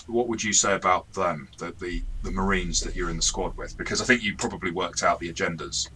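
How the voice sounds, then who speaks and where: Deep, bright-voiced man chatting informally. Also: steady tone, brisk, slight background noise, poor audio. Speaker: game master and reviewer, show host. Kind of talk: casual review chat.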